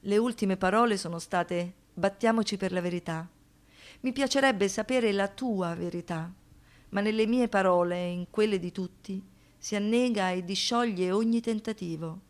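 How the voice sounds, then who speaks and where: An actress playing a haunted, wounded woman in a poetic radio monologue, speaking with intense deliberation, dramatic pauses and rhetorical questions. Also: mid-range voice, lightly acted. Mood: pleading, defiant. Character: haunted, wounded woman, lyrical, pleading and defiant.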